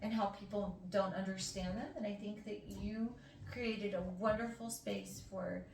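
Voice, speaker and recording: A middle-aged woman hosting a casual chat, asking questions in a gentle, reassuring way. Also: mid-range voice, fairly even intonation, moderate pace. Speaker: interviewer and host. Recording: roomy, distant mic with faint hiss.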